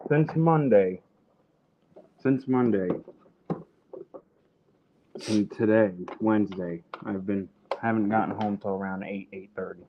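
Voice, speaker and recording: A man's voice: fairly low pitch, takes it slowly, long pauses, a little hiss or hum. Wisecracking man on a dull lo-fi line. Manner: joking, swears casually. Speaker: livestream co-host. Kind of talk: casual livestream chat.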